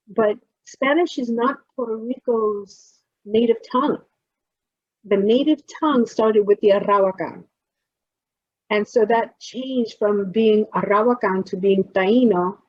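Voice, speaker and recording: A woman's voice: mid-range voice, darkish tone, no echo. A woman with a New Jersey accent, a poet chatting casually as she leads a workshop over a video call and trails off while she works through screen sharing. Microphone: computer mic on a video call. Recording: dull, lo-fi video call audio.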